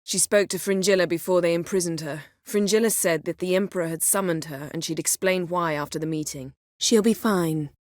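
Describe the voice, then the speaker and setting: Woman acting a low-voiced, imperious sorceress. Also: steady tone, a little fast, hardly any pauses, dry recording, lightly acted. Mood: earnest, subdued. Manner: imperious, dry, sardonic, formal diction. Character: haughty, sharp-tongued, commanding sorceress in a fantasy world. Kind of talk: fantasy video game voice acting.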